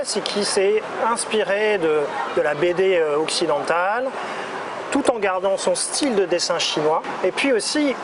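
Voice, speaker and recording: A French man in his forties, an interview guest talking casually on a city street, relaxed and hesitant, with many pauses. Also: sits high. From France. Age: 40s. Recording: street noise behind.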